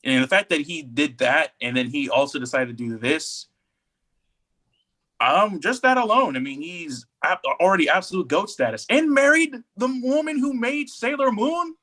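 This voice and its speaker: A man's voice: sits high, lively intonation, moderate pace, sharp tone. A man co-hosting a podcast in casual, enthusiastic chat, talking emphatically and repeating himself to make a point. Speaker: podcast co-host.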